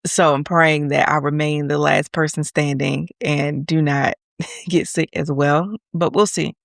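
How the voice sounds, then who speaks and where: A woman's voice: deep voice, steady tone, gravelly, no echo, clean studio sound. A woman in her thirties hosting a faith podcast, a little congested from allergies, talking in an earnest, encouraging way. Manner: earnest and encouraging, sometimes slow and emphatic. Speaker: podcast host. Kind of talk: faith-based encouragement monologue.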